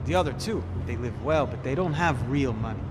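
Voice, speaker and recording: An actor playing a cynical police detective in a game, speaking in a sardonic, knowing way. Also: male voice, medium pitch, lively intonation, a little fast, natural voice. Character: sly, cynical Brazilian police detective, man. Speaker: police officer. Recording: game scene with loud street traffic.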